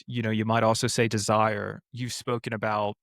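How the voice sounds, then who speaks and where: Measured male interviewer, lowish voice. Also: flat delivery, gravelly, fairly bright tone, dry recording, studio quality. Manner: measured, affirming, asks long framed questions. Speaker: podcast host interviewer. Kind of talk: interview questions.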